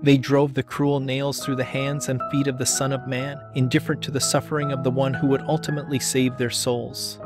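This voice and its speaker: A man reading a scripted homily as solemn, measured dramatic narration. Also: mid-range voice, level tone. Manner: measured, solemn storytelling delivery. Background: background music.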